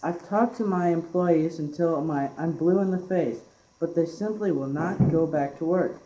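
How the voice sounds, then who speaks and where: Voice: medium pitch, moderate pace, dark tone, natural voice. A young teenager playing an exasperated, formal British employer in an amateur school radio play. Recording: echoey room with faint noise.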